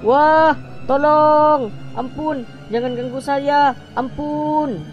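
A man voicing a skeptical but easily frightened young college student in a cartoon, colloquial and expressive, with a Jakarta accent. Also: very high-pitched, drawn-out words, muffled recording, faint music behind, exaggerated voice. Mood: terrified. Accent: Jakarta Indonesian. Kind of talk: animated cartoon dialogue.

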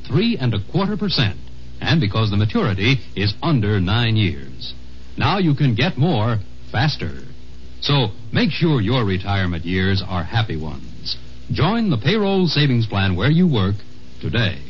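Lively American radio announcer, old muffled recording. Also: male voice, fairly low pitch, a little hiss or hum. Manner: polished, emphatic announcing. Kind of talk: scripted 1950s radio advertising.

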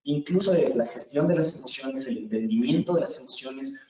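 Earnest young Ecuadorian-accented instructor, mellow tone, recorded from afar on a muffled line. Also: male voice, mid-range voice, normal speed. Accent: Ecuadorian Spanish. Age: 20s. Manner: earnest, repeats words for emphasis. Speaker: communication instructor. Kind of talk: webinar lecture. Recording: echoey room, far from mic, muffled.

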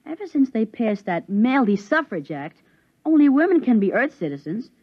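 Cheeky young boy, lively intonation, husky, eager, sulky, vintage radio drama. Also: fairly high pitch, normal speed, no echo. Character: restless young boy, cheeky, grumbling about his sisters. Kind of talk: 1950s radio drama acting. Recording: dull vintage 1950s radio recording.

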